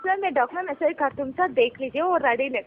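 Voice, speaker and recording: A woman's voice: high voice, quick pace, faint music behind. A polite, deferential woman making a telemarketing sales call. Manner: polite, deferential, says sir constantly. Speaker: credit card telemarketer. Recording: tinny, noisy phone line.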